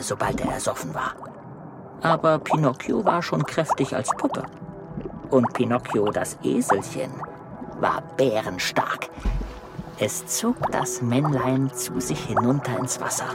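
A man playing an expressive, concerned storyteller who narrates a children's radio play. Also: medium pitch, lightly acted.

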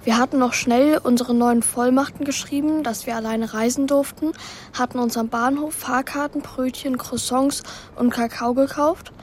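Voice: sits high, steady tone, normal speed, slight background noise, natural voice. A young performer playing an earnest, worried boy who narrates his own story in a German children's radio drama. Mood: excited. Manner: earnest, plain-spoken, childlike.

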